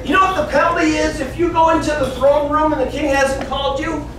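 A man's voice: very high voice, level tone, quick pace. A man in his fifties teaching children, telling a Bible story theatrically and acting out the dialogue in simple words. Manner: theatrical, acts out dialogue, plain simple wording. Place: small classroom indoors. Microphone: camera several metres away. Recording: roomy echo, distant camera mic, room noise.

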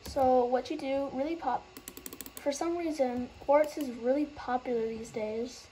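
A woman explaining a game trading method in a casual, halting way, losing her train of thought and starting over. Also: high voice, steady tone, mellow, a little hiss or hum, poor audio. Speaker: gaming tutorial host.

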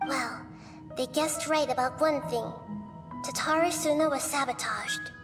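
A woman voicing a wise, gentle, childlike little goddess in a video game, calm and measured. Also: high-pitched, music in the background. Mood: knowing, intrigued. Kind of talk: English video game voice acting.

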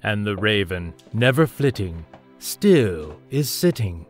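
A man's voice: on the low side, sing-song. A man playing a solemn, ominous narrator of gothic verse in an audio drama. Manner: measured, solemn. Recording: music bed behind the voice.

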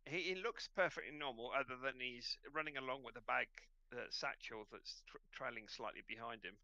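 Bright, creaky-voiced man running a game. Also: medium pitch, fairly quick, no echo, highs cut off. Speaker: game master. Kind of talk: narrating an online tabletop game.

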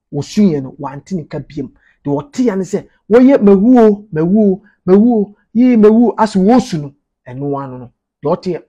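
Ghanaian man, thirties, informal commentator. High, husky voice, emphatic and repetitive delivery. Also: mellow. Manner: emphatic, repeats phrases for emphasis. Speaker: media commentator. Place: small indoor office.

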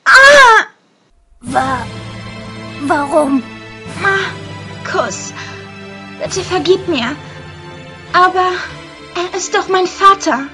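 High-voiced theatrical young stage lover, acted by a woman. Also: expressive, extremely slow, long pauses, over music, exaggerated voice. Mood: pleading. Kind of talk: amateur audio drama acting.

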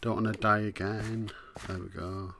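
Hoarse low-voiced man narrating gameplay. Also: flat delivery, moderate pace, sharp tone, a little hiss or hum. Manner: muttering to himself, easygoing, frequent "right". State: hoarse, coughing. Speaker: let's play host. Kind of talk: casual gameplay commentary.

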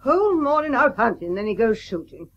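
An actress playing a brisk, commanding mother of grown sons in a radio drama. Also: a little high, sing-song, fairly bright tone, dull audio. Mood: brisk, reproving. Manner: brisk, reproving. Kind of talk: 1980s radio play acting.

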